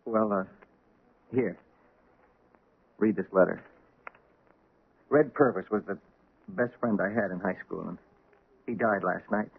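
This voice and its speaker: A nervous, awkward young husband who stammers and trails off. His voice is a little husky. It sounds tinny, like an old radio broadcast. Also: fairly low pitch, a little slow, long pauses, exaggerated voice. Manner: hesitant, stammering.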